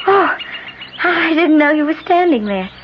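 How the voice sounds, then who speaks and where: A spirited, scheming woman in desperate straits in 1970s radio style. Her high voice swoops theatrically with urgency. The recording is dull and noisy. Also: very animated, measured pace. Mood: pleading. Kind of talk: 1970s radio drama acting.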